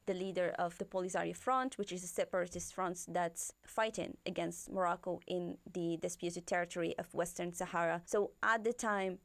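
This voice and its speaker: A woman answering interview questions as a guest analyst, explaining in a measured, steady way. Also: on the low side, creaky, dry recording.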